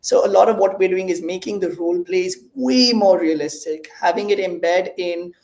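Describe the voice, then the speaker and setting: A man's voice: high-pitched, moderate pace, creaky, a little mellow. Indian man with roomy echo. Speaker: guest, startup CEO. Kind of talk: casual conversational explaining.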